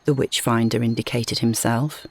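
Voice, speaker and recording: A woman reading a scripted short story as narrator, in a measured, dramatic storytelling manner. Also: very deep voice, fairly even intonation, breathy edge.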